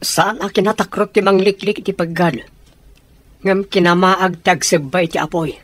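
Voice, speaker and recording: Actor playing high-voiced earnest young son, Ilocano drama. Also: male voice, steady tone, normal speed, fairly bright tone, dry recording. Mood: resigned, reasoning. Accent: Ilocano Filipino. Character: earnest peace-seeking son who refuses to fight. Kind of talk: Ilocano radio drama acting.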